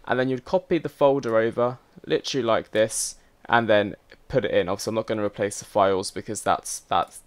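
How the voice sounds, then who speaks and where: A man hosts a casual software tutorial, chatty and instructional as he talks straight to viewers. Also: medium pitch. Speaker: host of a flight simulator tutorial channel.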